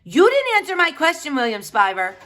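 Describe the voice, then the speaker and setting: Woman doing a young girl, defiant, declamatory, high voice, lively swings, storybook. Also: brisk, exaggerated voice. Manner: emphatic, declamatory. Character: defiant, indignant young girl, bluntly accusing.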